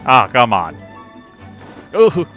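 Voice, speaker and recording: A man's voice: medium pitch, wildly swooping pitch, drawn-out words, bright, forceful tone. A man doing casual, slangy let's play commentary with offhand reactions. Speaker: gaming streamer. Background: game music.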